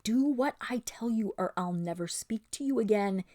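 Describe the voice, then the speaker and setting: A woman's voice: medium pitch, a little slow, darkish tone, dry recording, clean studio sound, natural voice. A woman voicing a frightened young runaway noblewoman who talks urgently, often in a hiss. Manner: urgent, whispered and hissing.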